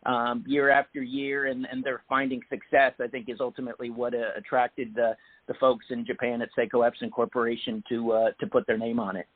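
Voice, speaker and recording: A man being interviewed as a guest, explaining things in a measured way with frequent "uh"s and "um"s. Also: mid-range voice, level tone, breathy edge. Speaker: guest, sports executive. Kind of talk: interview answers. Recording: phone-like narrow band audio.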